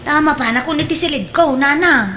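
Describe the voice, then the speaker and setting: A woman's voice: high-pitched, brisk, natural voice. A woman playing a cheerful, cheeky young housemaid in an Ilocano radio drama. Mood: insistent.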